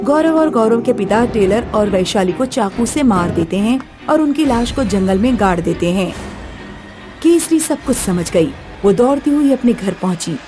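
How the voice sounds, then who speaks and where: A woman narrating a scripted story as a storyteller. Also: on the high side.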